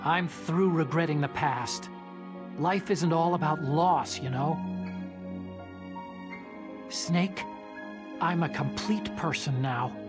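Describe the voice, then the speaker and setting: An actor playing an earnest, excitable young American scientist ally in a video game. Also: male voice, on the high side, takes it slowly, long pauses, over music. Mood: earnest resolve. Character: nerdy earnest young male scientist ally, newly resolute. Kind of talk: dramatic video game radio-call acting.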